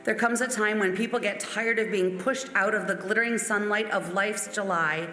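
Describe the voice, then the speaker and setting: A woman's voice: medium pitch, fairly even intonation, moderate pace, bright, forceful tone. A woman reading out famous quotations as a church member. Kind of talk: recited quotations. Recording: echoey hall, faint music. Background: faint music.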